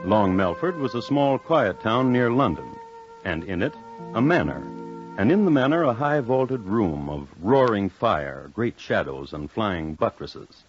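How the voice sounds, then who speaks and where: Urbane connoisseur of murder: low, expressive, droll and precise radio narration over music. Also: male voice, a little slow. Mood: droll, scene-setting. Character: wry, urbane connoisseur of crime telling murder tales. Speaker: host and narrator. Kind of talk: old-time radio scripted narration. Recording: dull old radio recording.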